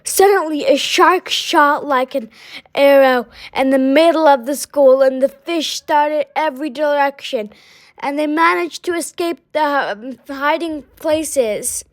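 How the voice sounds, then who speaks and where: A woman with a high voice reads a children's story aloud. Her pace is very slow and halting, with small stumbles as she goes. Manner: halting, stumbling over words. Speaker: storybook reader.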